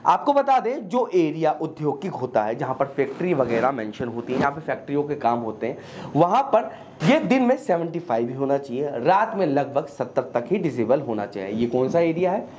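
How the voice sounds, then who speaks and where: A news presenter speaks in a medium-pitched voice. The delivery is instructive, walking viewers through points by asking and answering questions. Some faint noise sits behind the voice. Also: big pitch swings, brisk, a little mellow. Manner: didactic, poses questions and answers them. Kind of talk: explainer news commentary addressed to viewers. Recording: clearly noisy background.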